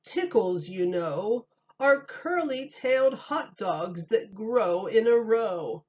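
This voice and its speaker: A woman voicing a pompous storybook wise man who explains nonsense in drawn-out, knowing rhyme. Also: mid-range voice, expressive, a little mellow, muffled recording, pitched above the performer's own voice.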